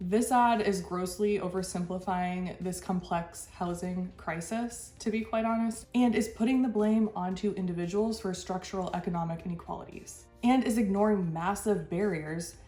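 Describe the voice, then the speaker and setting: A woman's voice: mid-range voice, moderate pace, hardly any pauses, warm tone. A woman hosting a reaction commentary, sounding stuffy from a cold, talking frankly and with exasperation, with short laughs between her points. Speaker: channel host. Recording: echoey room with faint music.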